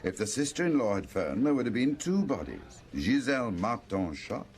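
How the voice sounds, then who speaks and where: An actor playing an attentive, logical confidant in a radio drama, reasoning aloud in a conversational way. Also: male voice, mid-range voice, big pitch swings, moderate pace, darkish tone, natural voice. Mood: thoughtful. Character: attentive male confidant, logical and gently reassuring.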